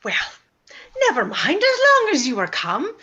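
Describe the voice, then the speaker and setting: A woman playing a fussing, affectionate mother in a radio play, with an expressive motherly delivery and slightly dull sound. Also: sits high, normal speed, full character voice. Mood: fond fussing.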